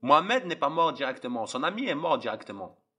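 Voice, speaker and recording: A young man from Algeria delivers a fervent testimony, circling back on his phrases and addressing the listener head-on. His voice sits somewhat high, with a tense, husky edge. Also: very animated, brisk, sharp tone. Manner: impassioned, repetitive, addresses listeners directly. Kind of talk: preaching testimony.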